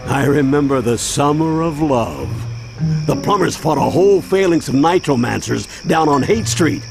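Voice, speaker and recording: A kindly old grandfather from a cartoon game. His voice is creaky and a little high for a man, with lively swings of pitch. Music plays behind him. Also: normal speed. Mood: nostalgic. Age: older. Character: kindly old grandfather, seasoned former alien fighter. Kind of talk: cartoon video game voice acting.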